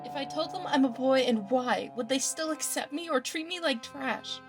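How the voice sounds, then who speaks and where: A woman's voice: high-pitched, normal speed, soft background music. A woman playing a troubled, fearful teenage student in an audio story, with a distressed, emotional delivery. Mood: upset, defensive. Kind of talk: dramatic fan fiction audio reading.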